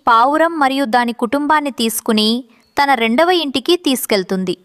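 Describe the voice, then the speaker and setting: A woman reading scripted narration for a children's animated story. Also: high-pitched, fairly quick, no echo.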